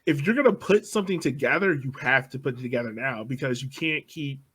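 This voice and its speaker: A man's voice: medium pitch, a little fast, fairly bright tone, husky. A man co-hosting a casual sports podcast, easygoing and laughing along with the others. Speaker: podcast co-host.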